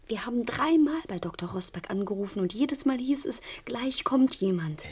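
An actress in a German radio play voicing a worried but reasonable daughter who tries to calm things down. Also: female voice, a little high, moderate pace, breathy edge. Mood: anxious restraint. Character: worried daughter, reasonable, calming her anxious mother.

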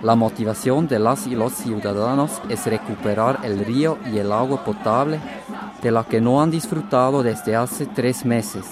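An actor playing an earnest radio field reporter, delivering a news report in a radio drama. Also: male voice, mid-range voice, moderate pace, natural voice. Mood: serious, reporting. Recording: crowd noise outdoors behind.

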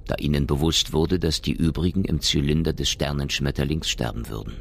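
A man playing the grave storyteller of a science fiction radio drama, narrating in a measured, solemn manner. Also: rumbling low, creaky, full character voice.

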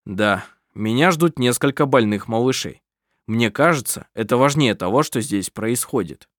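A reader voicing a quiet, weary man in an audiobook who speaks slowly and in a subdued way. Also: mid-range voice, lively intonation, no room sound, clean studio sound, close to an everyday voice.

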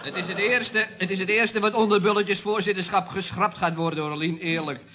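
A man acting a cheeky young idealist in an old Dutch radio comedy, with a Netherlands accent. He is glib and sloganeering, his voice a little high, on a clearly noisy old recording. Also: steady tone, fairly quick, crowd noise, lightly acted. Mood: defiant. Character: cheeky, idealistic young male activist employee.

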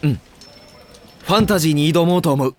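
A man's voice: fairly high pitch, fairly quick, noisy background, natural voice. A man playing a modest, earnest young student film director in an anime comedy drama, speaking self-effacingly.

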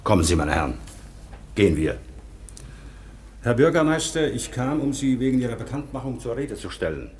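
A man's voice: medium pitch, big pitch swings, normal speed, long pauses, a little mellow, slight background noise, close to an everyday voice. An actor playing a formal, commanding nobleman addressed as Excellency. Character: dignified noble excellency, firm and humane. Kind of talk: radio play acting.

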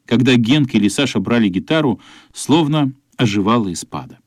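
A man's voice: mid-range voice, animated, brisk, no room sound, studio quality, close to an everyday voice. A man narrating a children's radio play as a storyteller. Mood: measured storytelling.

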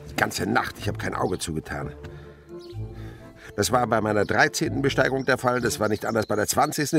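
Radio play lead: impatient grumbling naturalist, theatrical, highly animated delivery, faint music behind. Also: male voice, vocal fry. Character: impatient, driven mountain-climbing naturalist, called uncle. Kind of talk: German radio drama acting.

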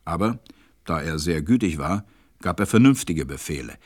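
An actor serving as the narrator of a radio play, reading a children's story in a measured, scripted way. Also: male voice, low voice, expressive. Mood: measured narration.

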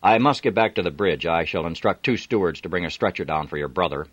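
A man voicing a gruff, formal middle-aged ship's captain in an audiobook. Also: lowish voice, very animated, normal speed, a bit bright, dry recording, muffled recording, pitched above the performer's own voice.

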